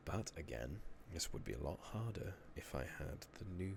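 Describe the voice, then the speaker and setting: A man giving soft-spoken ASMR game commentary, muttering casually to himself and often slipping into a whisper, on a noisy close mic. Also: very deep voice, sing-song, normal speed, creaky. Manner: murmuring, often dropping into whispers. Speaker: video game streamer. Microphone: makeshift-rigged new microphone. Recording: noisy close mic, slightly loud, clicking.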